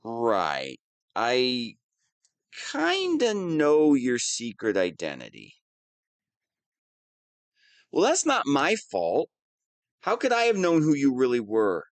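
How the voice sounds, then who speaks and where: A man's voice: mid-range voice, huge pitch swings, slow, long pauses, no echo, exaggerated voice. A man playing a whiny, lovestruck young wannabe villain in an audio roleplay, petulant and defensive. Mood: sheepish. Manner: petulant, defensive, swinging between sheepish mumbling and indignant outbursts. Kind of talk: scripted audio roleplay monologue.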